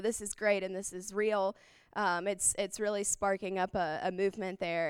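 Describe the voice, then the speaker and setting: Woman sharing a mission trip testimony, super clear smooth voice, no echo, earnest and a bit searching for words. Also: mid-range voice, studio quality. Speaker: mission trip speaker at church. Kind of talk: informal testimony.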